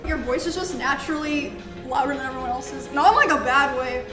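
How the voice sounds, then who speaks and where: A woman with a strained, gravelly voice that sounds close to tears, chatting casually and filling her speech with "like". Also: sits high, brisk, bright, forceful tone, roomy sound, faint music behind. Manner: chatty, with many fillers.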